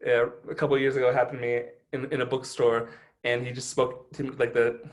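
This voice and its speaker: A man hosting a casual interview, telling stories in an animated way with many "like" fillers. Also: mid-range voice, quick pace. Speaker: podcast host interviewer.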